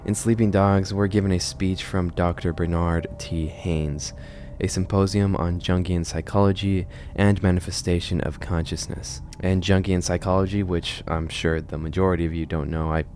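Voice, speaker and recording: A man with a notably deep voice talks through a horror series as a video host. He explains things conversationally, like a narrated essay. A faint low noise sits under the recording. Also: steady tone, moderate pace, darkish tone.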